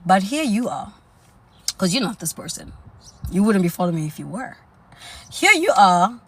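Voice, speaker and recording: A woman's voice: medium pitch, sing-song, normal speed, fairly bright tone, breathy edge. A young woman from South Africa with a South African accent, chatting sassily on a live stream and calling her viewers honey and girl. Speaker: dating lifestyle live streamer. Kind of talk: casual live stream chat.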